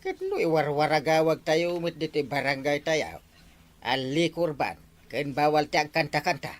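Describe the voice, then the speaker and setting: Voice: medium pitch, moderate pace, close to an everyday voice. A performer playing a meek, too-kind barangay captain who stammers hesitantly, in an Ilocano comedy skit. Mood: resigned.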